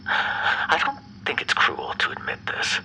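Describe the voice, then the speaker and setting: A man playing an obsessive, bitter, menacing ex-lover in an ASMR roleplay, speaking in a breathy, brooding half-whisper. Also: pushed and bright, a little hiss or hum, rough recording. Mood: bitter, wounded. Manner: slow, brooding, theatrically menacing. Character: obsessive, bitter yandere male vampire ex-lover, menacing captor.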